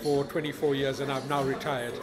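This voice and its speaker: A man's voice: medium pitch, flat delivery, fairly quick. A man in his sixties from Zimbabwe, a retired councillor, speaking in an informal interview. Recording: loud music and crowd chatter. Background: loud music, busy crowd.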